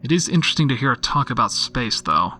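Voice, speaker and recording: A man's voice: mid-range voice, big pitch swings, quick pace, sharp tone, soft background music. An actor playing a skeptical, weary male police detective who muses dryly aloud in an audio drama. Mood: skeptical musing. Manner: dry, grumbling, musing aloud. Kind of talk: audio drama, naturalistic monologue into recorder.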